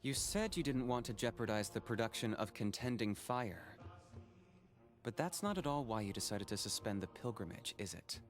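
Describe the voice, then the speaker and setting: A man's voice: fairly low pitch, expressive, moderate pace, lightly acted. An actor voicing an earnest young male companion in a video game, asking probing questions.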